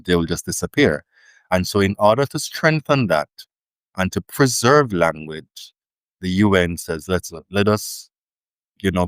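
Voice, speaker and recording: A man's voice: sits low, fairly bright tone, no room sound. A Jamaican man, a linguist guest, telling stories in a thoughtful, emphatic way in a casual interview. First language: Jamaican Creole.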